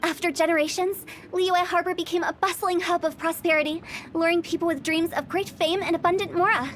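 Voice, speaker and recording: A woman's voice: extremely high pitch, steady tone, fairly quick, a bit bright, slight background noise. An actress voicing a playful young woman who runs a funeral parlor, teasing one moment and solemn the next. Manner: teasing, then solemn when explaining. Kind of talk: game cutscene voice acting.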